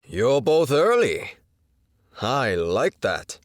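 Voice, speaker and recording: A man's voice: medium pitch, very animated, drawn-out words, no room sound, studio quality. A man playing an easygoing, welcoming dance director in an audio drama.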